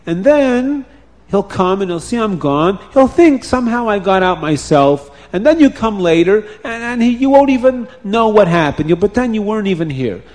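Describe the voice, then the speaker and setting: A desperate man held captive in a folk tale as a male narrator acts him out begs urgently for his life. His voice is pushed high and echoes through a long hall. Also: very animated, takes it slowly, few pauses, dark tone, heavily acted. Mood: urgent persuading. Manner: urgent, pleading.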